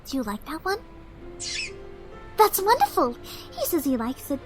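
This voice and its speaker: A woman voicing a shy, gentle cartoon pony mare who speaks for animals, soft, polite and hesitant. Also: high-pitched, wildly swooping pitch, moderate pace, faint music behind. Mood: timid, kind. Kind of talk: cartoon fan audio drama acting.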